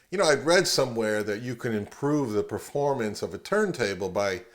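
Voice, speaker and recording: A middle-aged man giving a casual, matter-of-fact how-to demonstration in a relaxed, conversational way, as a hobbyist vlogger. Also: medium pitch.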